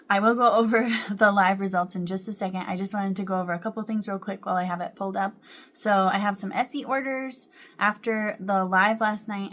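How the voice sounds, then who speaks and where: A young woman talks at home in an easy, chatty way about what she has been crocheting. Her speech is loose and conversational, full of ums and little laughs, with a voice of ordinary middle pitch. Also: fairly bright tone. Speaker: crochet vlogger. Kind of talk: casual chat to camera.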